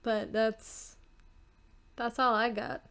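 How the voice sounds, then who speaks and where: A woman's voice: on the high side, flat delivery, slow pace, creaky, fairly bright tone, smooth. A woman talking casually as a writer on a podcast, in a hesitant, reflective manner. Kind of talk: casual chat.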